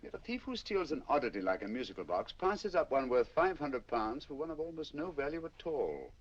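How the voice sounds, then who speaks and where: Brief: sharp British detective, quick lively delivery, crisp questions, muffled old film sound. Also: male voice, mid-range voice. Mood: probing. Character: sharp, inquisitive male detective. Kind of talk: 1940s film acting.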